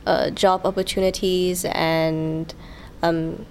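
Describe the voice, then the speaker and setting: A young woman in a student interview, speaking slowly and haltingly with many "um" pauses. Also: lowish voice, little pitch movement, a little hiss or hum. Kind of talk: casual interview answers.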